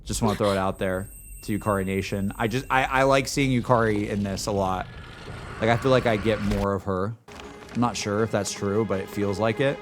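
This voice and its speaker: A man's voice: on the low side, steady tone, brisk. A man giving casual, slangy reaction commentary as a streamer, hedging his opinions as he goes. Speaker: streamer reacting to game cutscenes. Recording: music playing behind.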